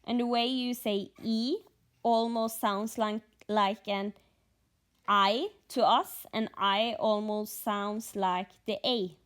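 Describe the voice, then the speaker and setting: A woman from Norway with a Norwegian accent, chatting casually in a vlog, pausing and thinking aloud, a little sleepy. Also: mid-range voice, fairly even intonation. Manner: halting, thinking aloud with fillers. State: just woken up, short on sleep. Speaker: stitching vlogger.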